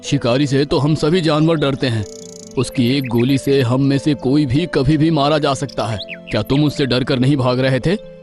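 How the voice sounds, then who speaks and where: A man's voice: mid-range voice, steady tone, normal speed, darkish tone, soft background music, natural voice. A man voicing a sensible, reproachful male deer in a cartoon story. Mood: indignant. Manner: reproachful, serious. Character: sensible scolding male deer, the prankster's friend. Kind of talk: Hindi cartoon moral story voice acting.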